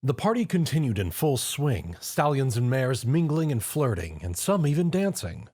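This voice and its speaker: A man narrates a scripted story. His voice has a medium pitch. His delivery is animated, with plenty of rise and fall. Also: moderate pace, no echo, studio quality.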